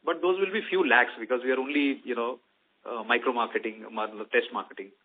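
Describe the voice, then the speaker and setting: A man with an Indian accent explaining business results as an executive on an earnings call, in a halting, hesitant manner. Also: medium pitch. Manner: hesitant, halting, with frequent "you know" and "let's say". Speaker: company executive answering analysts' questions. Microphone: telephone conference call. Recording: tinny narrow phone line.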